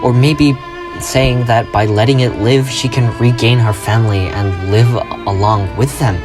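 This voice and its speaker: A man's voice: medium pitch, steady tone, a little slow. A man presenting a fan theory as a scripted video essay, with dramatic storytelling phrasing. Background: loud music.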